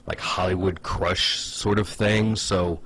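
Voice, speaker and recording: A man's voice: low-pitched, steady tone, slow pace, a bit bright, a little hiss or hum. A man giving casual comedic commentary in a conversational way, with hesitant asides and plenty of "like". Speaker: online video host.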